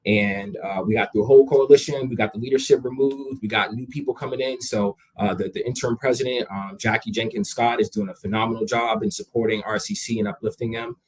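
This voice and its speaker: Young guy, jamaican heritage, husky voice, chatty and energetic guest, lots of repeated starts. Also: fairly low pitch, quick pace, few pauses, poor audio. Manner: energetic, conversational, repeats words when starting sentences. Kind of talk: casual interview talk.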